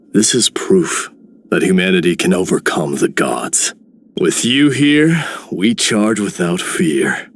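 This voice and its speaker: A man's voice: deep voice, exaggerated voice. A man voicing a proud, battle-hardened warrior in a video game, grave and declamatory. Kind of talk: scripted game character lines.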